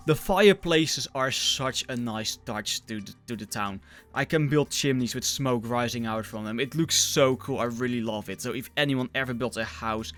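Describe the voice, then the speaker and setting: A man's voice: medium pitch, moderate pace, a bit bright. Young Dutch-accented man over background music. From Netherlands. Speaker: gaming video host. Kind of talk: casual gaming commentary.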